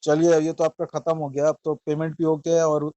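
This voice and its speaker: A man's voice: fairly high pitch, completely flat delivery, normal speed, dark tone, no echo, muffled recording. An Indian man hosting a phone interview, questioning and instructing a caller in a probing way, mixing in English words. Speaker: interviewer and host of a channel.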